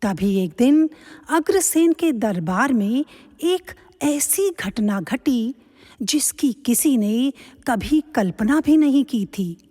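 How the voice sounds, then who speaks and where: A woman's voice: a little high, expressive, slow, dark tone. A woman giving scripted storytelling narration for an audio drama. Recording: long hall-like reverb.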